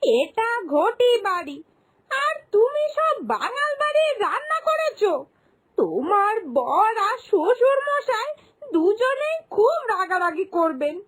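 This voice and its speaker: A woman's voice: extremely high pitch, sing-song, slow, exaggerated voice. A woman voicing a worried, disapproving mother-in-law in a moral-story cartoon, with plaintive, fretful questioning.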